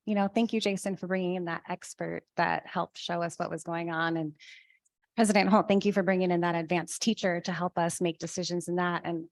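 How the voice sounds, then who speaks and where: A woman's voice: on the low side, creaky, no room sound. A woman board member, losing her voice, giving earnest thanks with many fillers at a meeting. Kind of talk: formal meeting remarks.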